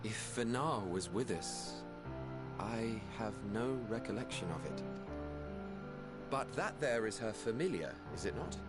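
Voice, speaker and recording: An actor playing a thoughtful male scholar in a game cutscene, speaking in a measured, formal way. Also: mid-range voice, close to an everyday voice.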